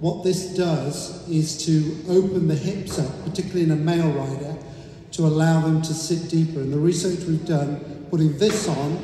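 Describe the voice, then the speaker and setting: Man, very mellow voice, explanatory lecture, long hall reverb. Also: on the high side, steady tone, moderate pace. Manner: explanatory, cites figures. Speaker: convention speaker on rider fitness. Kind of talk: lecture to an audience.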